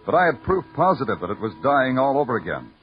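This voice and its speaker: Casting: male actor as hard-boiled night-shift cop, terse, world-weary, expressive pitch swings, dull vintage radio sound. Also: fast, faint music behind, close to an everyday voice. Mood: wry. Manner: terse, deadpan, brooding. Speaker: lead actor and narrator. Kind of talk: noir radio drama narration.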